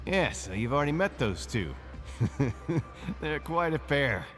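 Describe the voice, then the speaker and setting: Lively, friendly chatty inmate. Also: male voice, a little high, takes it slowly, faint music behind, heavily acted. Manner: breezy, gossipy, animated. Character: friendly, eager male inmate and card player. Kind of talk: video game voice acting.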